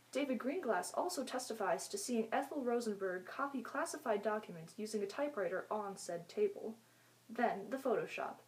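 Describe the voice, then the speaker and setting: A woman presents scripted news as an anchor. Her voice sits a little high. She delivers it as narration, report by report. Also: a little mellow, low-quality recording.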